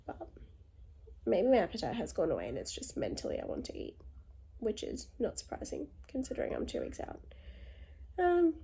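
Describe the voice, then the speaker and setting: A young woman with a hoarse, croaky voice from illness, chatting casually in a video diary, tired but trying to stay upbeat. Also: dark tone. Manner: tired, low-key, upbeat despite illness. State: congested, chesty cough. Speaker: vlogger.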